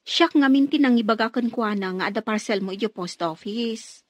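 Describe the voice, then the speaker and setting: Actress as high-voiced, concerned neighbor woman. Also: normal speed, dry recording, natural voice. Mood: regretful. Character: concerned older-sister-like neighbor bringing troubling news. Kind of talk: Ilocano radio drama acting.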